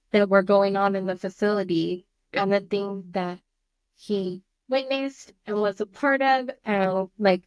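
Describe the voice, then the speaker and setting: A mother speaking earnestly and openly about her teenage son's struggles, with a naturally loud voice and a halting, candid way of talking. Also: medium pitch, no echo, rough recording. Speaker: mother of a teen on a family counseling show. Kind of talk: candid conversation.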